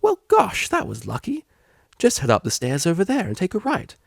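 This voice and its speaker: A man's voice: sits high, wildly swooping pitch, normal speed, gravelly, breathy edge, no echo, studio quality, pitched above the performer's own voice, heavily acted. A man voicing an over-eager, gushing female unicorn receptionist in an audiobook story. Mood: overly cheerful. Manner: gushing, overly polite.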